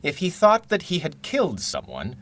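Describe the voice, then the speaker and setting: An urbane male villain of 1930s radio drama, with swooping intonation and a bright, sharp edge. He is wry, wordy and coldly calculating, savouring each theatrical phrase. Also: a little high, huge pitch swings, normal speed, exaggerated voice. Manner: wordy, pompous, dryly theatrical.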